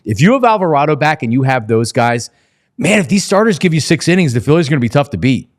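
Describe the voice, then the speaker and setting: A man's voice: fairly high pitch, big pitch swings, fast. A man hosting a casual sports talk show, conversational and opinionated as he interviews a guest.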